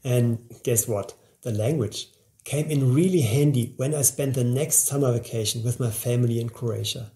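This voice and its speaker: A man in his forties speaking to camera in a studio, giving an earnest, upbeat scripted sales pitch for a language course. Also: moderate pace, warm tone. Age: 40s. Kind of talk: scripted promotional talk to camera. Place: indoor studio, plain grey backdrop, seated at a table.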